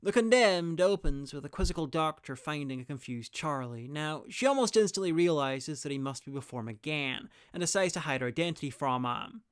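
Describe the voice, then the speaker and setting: Male reviewer, higher-pitched and smooth-voiced, presenting a scripted guide with dry wit. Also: lively intonation, a little fast, few pauses.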